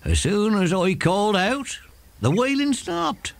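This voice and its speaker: Old plain-spoken country shepherd for children's audio play: unhurried, superstitious, very animated pitch. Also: male voice, vocal fry, no echo, exaggerated voice. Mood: troubled, puzzled. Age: older.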